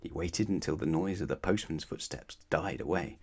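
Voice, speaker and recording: A man's voice: very deep voice, normal speed, a little mellow, husky. A man narrating a children's bedtime story expressively, putting questions to his young listeners. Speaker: storybook reader.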